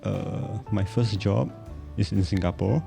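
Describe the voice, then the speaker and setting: A man's voice: lowish voice, fairly even intonation, a little slow, creaky, music in the background. A young man from Malaysia, a podcast guest giving short, shy answers in casual chat.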